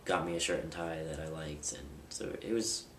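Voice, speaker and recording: Young man, very low voice, candid rambling vlogger, roomy distant home-camera sound with noise. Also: fairly even intonation, moderate pace, a bit bright. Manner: candid, rambling with fillers and restarts. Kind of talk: casual personal vlog. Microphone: camera mic at a distance.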